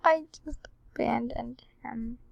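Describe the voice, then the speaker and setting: A woman's voice: a little high, slow pace, vocal fry, highs cut off, background noise. A tired young girl talking casually over her gameplay. She rambles and drifts into whispers. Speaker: gaming video creator. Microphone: computer screen recording mic.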